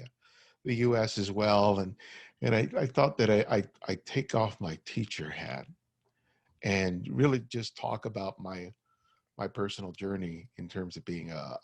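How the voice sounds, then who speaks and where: Middle-aged guy on a video call, gravelly low voice, kinda husky, halting with a slight stutter. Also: a little mellow, no room sound. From United States. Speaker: guest photographer. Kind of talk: personal talk at an online forum.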